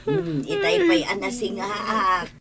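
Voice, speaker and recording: A woman's voice: fairly high pitch, very animated, takes it slowly, vocal fry, a loud crowd, exaggerated voice. A woman playing an older mother figure in an Ilocano radio drama, with an Ilocano accent. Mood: sleepy.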